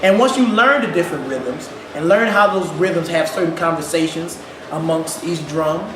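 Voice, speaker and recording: Animated Ghanaian-born percussionist, echoey room. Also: male voice, fairly high pitch, normal speed. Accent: St. Louis. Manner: animated, laughing, repeats words. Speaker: percussionist guest. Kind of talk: casual interview. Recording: echoey room with loud background noise.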